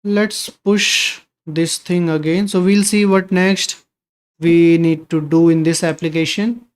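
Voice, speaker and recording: A man's voice: on the high side, steady tone, takes it slowly, clean tone. A man hosting a live coding stream, reading viewer questions aloud and answering them in a casual, conversational way.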